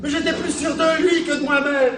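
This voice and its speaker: A man's voice: very high voice, fairly even intonation, normal speed, background noise. An actor playing a fretful, anxious man who fears he will change, pleading and insistent in a stage play. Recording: echoey stage from far off, music.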